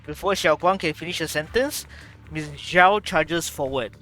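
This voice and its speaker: A man's voice: fairly high pitch, moderate pace, pushed and bright, faint music behind. A man reacting to a video game story, trash-talking the characters and reading narration aloud.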